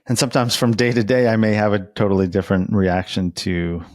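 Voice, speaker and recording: A man's voice: on the low side, fairly quick, a little mellow, no echo, clean studio sound. A man interviewing in a casual, conversational way, reflective and rambling, often hedging as he goes. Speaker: interviewer who works as a therapist.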